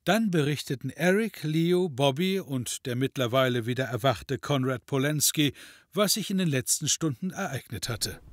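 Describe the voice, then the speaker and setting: A man with a husky voice giving dramatic scripted narration with dry comic touches, as an audio drama narrator. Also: mid-range voice, lively intonation, moderate pace, no echo.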